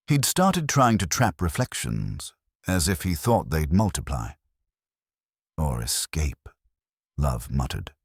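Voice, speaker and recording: A man reads scripted mystery narration in a measured, deliberate way, with dramatic pauses and a husky voice. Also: no room sound, studio quality. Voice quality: very deep, husky. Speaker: story narrator.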